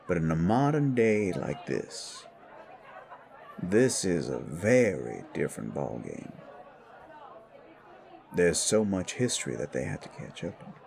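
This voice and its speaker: A man voicing a worldly, protective supernatural mentor in an audio drama, measured and admonishing as he speaks. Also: sits low, gravelly, darkish tone, heavily acted. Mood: grave, explaining. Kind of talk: audio roleplay dialogue.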